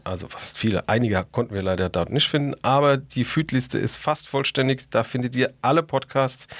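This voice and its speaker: A man's voice: medium pitch, no room sound. A man co-hosting a podcast in casual, jokey, easygoing chat. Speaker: podcast co-host.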